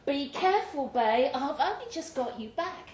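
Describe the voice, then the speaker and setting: Woman acting a high, emphatic, wary girlfriend. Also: animated, moderate pace, darkish tone. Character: ex-convict's girlfriend, wary, blunt London woman. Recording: roomy pub recording with faint noise.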